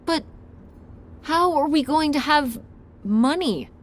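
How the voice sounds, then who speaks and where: A woman's voice: high-pitched, expressive, slow pace. A woman playing a worried teenage daughter in a video game, natural in delivery, pointed and sulky as she questions. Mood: worried, challenging. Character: worried, challenging teenage daughter named Tess. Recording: clear background ambience noise.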